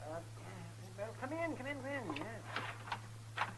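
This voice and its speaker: A fussy frontier doctor in a 1950s radio western. His voice is pitched high as he cautions. The old radio recording is clearly noisy. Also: sing-song, very slow, full character voice. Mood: fussy, genial.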